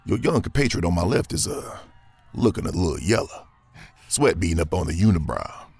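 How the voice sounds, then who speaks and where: An actor playing a cocky, dangerous gunslinger in a Western audio drama, with a gravelly Southern American drawl and theatrical, taunting delivery. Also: male voice, deep voice, sing-song, brisk, darkish tone, dry recording, heavily acted. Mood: smug, needling.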